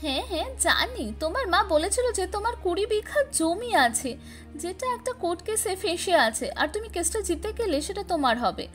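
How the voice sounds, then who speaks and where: A woman's voice: very high voice, lively intonation, normal speed, faint music behind, pitched above the performer's own voice. A woman voicing a greedy, scornful young wife who scolds with contempt in a cartoon story. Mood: scornful complaining.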